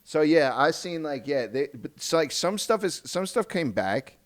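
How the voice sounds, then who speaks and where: A man's voice: fairly high pitch, very animated, normal speed. A man hosting a podcast in casual chat, informal and slangy, often repeating and rephrasing as he goes. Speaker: podcast host. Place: podcast recording.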